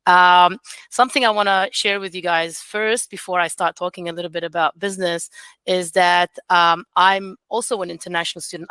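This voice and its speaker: A woman's voice: fairly low pitch, sharp tone, smooth, no room sound. A woman in her forties, not a native English speaker, gives a chatty, encouraging webinar talk as an academic coordinator. She speaks over a video call and uses many fillers. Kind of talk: informal webinar presentation.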